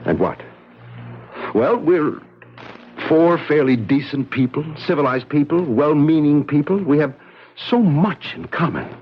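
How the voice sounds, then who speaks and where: A muffled 1970s radio drama features an anguished, principled scientist. His delivery is earnest and emphatic, with halting moments and wide pitch swings. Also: lively intonation, husky. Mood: earnest pleading. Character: anguished, principled male scientist resisting orders.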